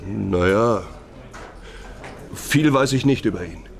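An actor playing a guarded, streetwise workmate in a German radio crime drama, speaking in an offhand, colloquial way. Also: male voice, on the low side, takes it slowly, vocal fry. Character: guarded, streetwise male workmate, plain-spoken.

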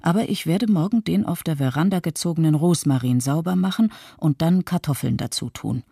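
A man playing a pensive disaster witness with a husky, creaky voice, narrating in a radio play. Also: on the high side, brisk, warm tone, no room sound, clean studio sound. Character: pensive islander resolved to stay positive after disaster. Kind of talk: scripted first-person narration in a radio documentary play.

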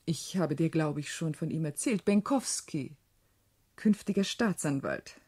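A shrewd, worldly widow in a German radio drama. Her husky, mellow voice sits on the low side, and she speaks with deliberate, proud self-possession. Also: full character voice. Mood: self-satisfied. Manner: deliberate, expressive, philosophizing. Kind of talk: German radio play acting.

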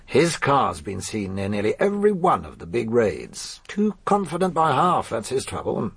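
An actor playing a shrewd, bulky chief inspector in a British radio drama, with an English accent and a gruff, knowing manner. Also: male voice, mid-range voice, wildly swooping pitch, moderate pace, fairly bright tone, dry recording, exaggerated voice. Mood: matter-of-fact, assured. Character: shrewd, bulky male police chief inspector, blunt and assured.

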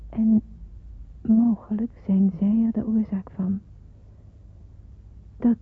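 A woman playing a fearful girl in hiding, telling her story solemnly in a breathy, hushed radio drama voice. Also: mid-range voice, level tone, takes it slowly. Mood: sombre, sorrowful. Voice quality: breathy, husky. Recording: muffled narrow-band radio recording.

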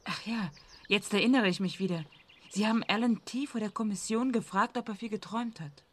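A woman playing a probing, skeptical journalist in a radio drama, asking pointed, measured questions. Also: medium pitch, lightly acted. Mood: curious, probing. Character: probing, skeptical female journalist interviewing a scientist.

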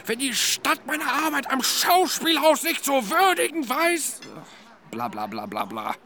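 A man's voice: very high voice, big pitch swings, unhurried, pushed and bright, full character voice. An actor playing a grumbling, joking man in a naturalistic radio drama, with a sardonic, complaining manner. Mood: indignant, sardonic. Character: grumbling, joking male partner of an expectant woman.

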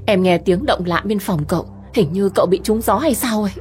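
A man voicing a scheming young woman in falsetto, shrill and falsely concerned, in a dramatic story reading. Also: brisk, soft background music, natural voice. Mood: alarmed. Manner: shrill, theatrically fake concern.

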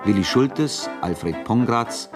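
A man reading scripted credits as a radio announcer, in a formal, measured style. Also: medium pitch, animated. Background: brass music.

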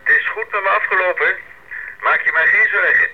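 Reassuring man on a bush radio, high voice, dull noisy radio play sound. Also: fairly quick. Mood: reassuring, shaken. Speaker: radio drama actor. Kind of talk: radio drama acting.

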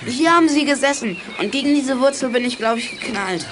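Eager child detective, high voice, club leader, youth radio play. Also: female voice, animated, fairly quick, very noisy.

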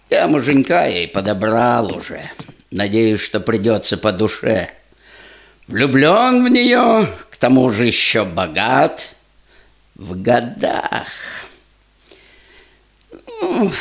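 An actor playing a self-satisfied, controlling father who muses deliberately in theatrical rhymed verse. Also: medium pitch, vocal fry, a little hiss or hum, heavily acted. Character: scheming controlling father matchmaking for his daughter, self-satisfied.

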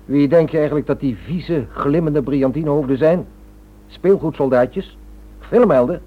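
An actor in a Dutch radio drama playing a cynical, pragmatic criminal accomplice with a sardonic manner. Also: male voice, fairly high pitch, moderate pace, natural voice. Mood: sardonic, warning. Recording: muffled old radio recording.

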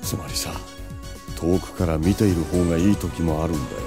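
A man's voice: deep voice, fairly quick, over music. A man playing a suave, proud, composed vampire lord in an audio drama, self-assured and dramatic. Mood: composed, patient. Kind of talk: anime-style audio drama acting.

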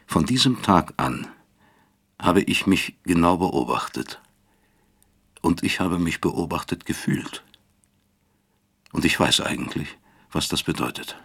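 Radio drama narrator: self-accusing, weary professor, rock-bottom register, husky and creaky, measured reflective delivery. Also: male voice, rumbling low, heavily acted. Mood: reflective, brooding. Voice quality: gravelly, husky. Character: weary, self-accusing professor of medicine recalling his East German past.

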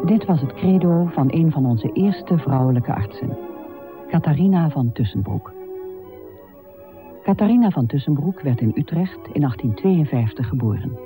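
A man narrating a radio play from a script in a measured, formal manner. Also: fairly high pitch, animated, music in the background.

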